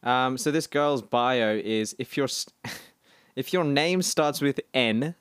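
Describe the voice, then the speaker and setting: A man's voice: medium pitch, slow pace, clear, resonant tone, no echo, clean studio sound. A man co-hosting a casual podcast, joking and laughing often as he reads out dating profiles. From Australia. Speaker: podcast co-host. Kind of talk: casual banter.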